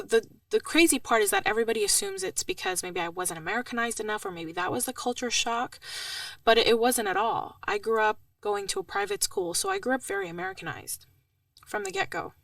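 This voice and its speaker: A woman's voice: high-pitched, brisk, gravelly, no echo, far from the mic. A woman in her twenties who grew up in the Emirates with Arabic as her first language, telling her story as a podcast guest with many fillers. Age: 20s. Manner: expressive storytelling with frequent fillers. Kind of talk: casual interview chat.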